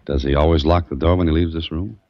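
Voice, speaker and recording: A man's voice: rumbling low, steady tone, quick pace. An actor playing a curious, wry male adventurer who also narrates, casual and probing, in 1940s radio style. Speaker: narrator and lead. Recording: dull dry old radio recording.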